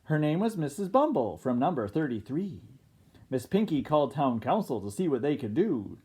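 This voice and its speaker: Mellow-voiced storyteller reading to young children. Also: medium pitch, husky. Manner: expressive, pauses to comment to young listeners. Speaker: kindergarten teacher reading aloud. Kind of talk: picture book read-aloud with casual asides.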